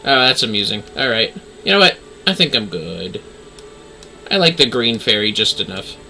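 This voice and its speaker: A man's voice: lowish voice, big pitch swings, moderate pace, sharp tone, a little hiss or hum. A man doing casual livestream game commentary, talking to himself as he plays and reading game text aloud. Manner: talks to self while playing. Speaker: livestream gamer, VTuber.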